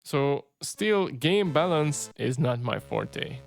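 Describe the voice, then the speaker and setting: A man in his thirties, a native Hungarian speaker, chatting casually in a relaxed way as he narrates his own game projects over gameplay. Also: a little high, takes it slowly, creaky. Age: 30s. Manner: relaxed and chatty, peppers speech with "right?" and "you know". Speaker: game developer and channel host.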